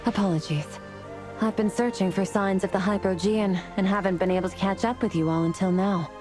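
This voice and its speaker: A woman's voice: medium pitch, fairly even intonation, brisk, music in the background, natural voice. A woman playing a noble, resolute celestial being in a game story, speaking formally and with composure. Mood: apologetic. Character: noble, solemn, resolute female celestial warrior. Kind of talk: scripted game voice acting.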